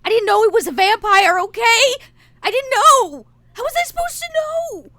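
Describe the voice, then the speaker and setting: A woman's voice: extremely high pitch, lively intonation, normal speed, pushed and bright, dry recording, pitched above the performer's own voice, full character voice. A woman playing a flustered, defensive woman driver in a tabletop game, stammering and blurting her words. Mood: panicked. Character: Nero, flustered, defensive woman driver of a monster-hunting cell.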